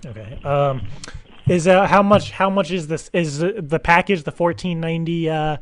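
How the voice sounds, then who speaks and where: A man's voice: a little high, lightly acted. A man playing a rambling, clueless, doting single dad on a prank phone call, stumbling and repeating his questions. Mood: puzzled, inquisitive. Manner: halting, repetitive, stumbling questions. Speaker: radio show prankster.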